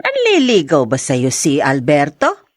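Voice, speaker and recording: A woman's voice: sits low, huge pitch swings, measured pace, heavily acted. A woman playing a hopeful, inquisitive middle-aged mother in a Filipino audio drama. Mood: curious, gentle. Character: hopeful, inquisitive older mother of a grown daughter.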